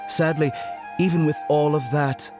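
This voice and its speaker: A man's voice: on the high side, level tone, close to an everyday voice. An actor playing an arrogant male doctor and scholar in a video game, mock-polite and condescending, drawing out his words for menace. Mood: veiled menace. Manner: theatrical, mock-polite, drawn-out emphasis. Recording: music behind the voice.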